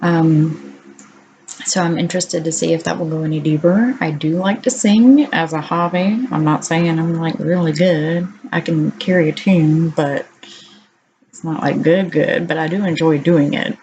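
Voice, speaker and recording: A woman with a naturally deep voice talking candidly at home to her camera in a casual video diary, unhurried and full of ums. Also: warm tone, slight background noise, poor audio. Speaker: vlogger.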